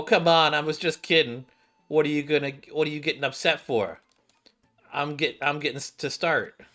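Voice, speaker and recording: A man's voice: medium pitch, bright, forceful tone. A man hosting a gaming video, commenting casually and reading dialogue aloud. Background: faint game music.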